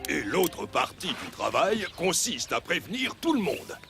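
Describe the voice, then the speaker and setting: An actor playing a friendly travelling cinema projectionist in a cartoon, easygoing and inviting. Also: male voice, a little high, big pitch swings, unhurried, vocal fry, heavily acted. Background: music.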